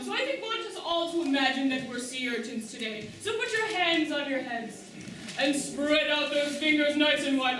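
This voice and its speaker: High-voiced, echoey manta ray teacher played by a woman. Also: a bit bright, a little hiss or hum. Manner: enthusiastic, encouraging, projecting to children. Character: upbeat manta ray schoolteacher leading young ocean explorers. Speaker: puppet show teacher and presenter. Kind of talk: interactive children's puppet show lesson. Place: large echoey room with live audience. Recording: echoey hall, distant, audience crowd behind.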